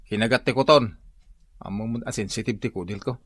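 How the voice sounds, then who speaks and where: A man's voice: on the low side, a little fast, fairly bright tone, slightly rough, dry recording. A man acting a sly, evasive, jumpy philandering husband in Ilocano radio comedy-drama. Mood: flustered, evasive.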